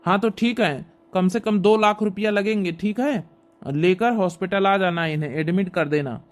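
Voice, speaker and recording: A man playing a brisk, insistent village doctor in a cartoon comedy, talking matter-of-factly. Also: high voice, natural voice.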